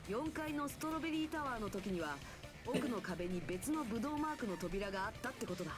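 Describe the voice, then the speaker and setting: A woman's voice: on the high side, expressive, rapid-fire, music in the background, close to an everyday voice. A woman voicing an earnest teenage boy reasoning through a mystery in a Japanese video game. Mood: earnest deduction.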